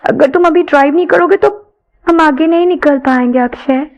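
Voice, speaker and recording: A woman's voice: sits high, normal speed, lo-fi sound, voice pushed up. A woman voicing an anxious young woman who pleads urgently and insistently in an audio story. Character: anxious young woman passenger urging on a racing driver. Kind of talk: dramatised audio story dialogue.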